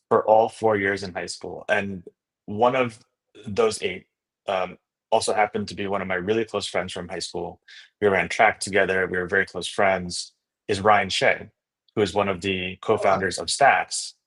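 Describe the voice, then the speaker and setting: A man with a fairly low voice, from Indonesia and a native speaker of Indonesian. He talks as an interview guest, telling his story in a casual, enthusiastic way and often tagging phrases with a checking word. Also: fairly even intonation, normal speed, fairly bright tone, poor audio. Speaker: podcast guest, startup founder and investor.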